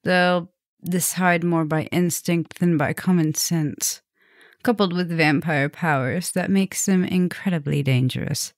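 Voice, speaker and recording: A soft-spoken, dominant female vampire professor, acted by a woman in an intimate ASMR roleplay. She takes her time, murmuring affectionately and teasingly with a gravelly fry. The sound is close and very dry. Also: on the low side, fairly even intonation, unhurried, dry recording. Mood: worried, confiding.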